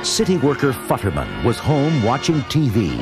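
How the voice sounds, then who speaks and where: A man's voice: medium pitch, huge pitch swings, normal speed. A man narrating a children's read-along adventure in a dramatic, theatrical scripted style. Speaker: story narrator. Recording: loud music and effects behind narration.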